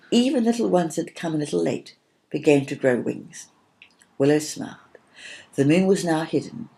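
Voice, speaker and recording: Low, mellow woman reading a children's story. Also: vocal fry.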